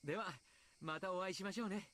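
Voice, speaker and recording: A man's voice: high-pitched, very fast, a bit bright. A man playing a genial, polite team coach in Japanese anime game style.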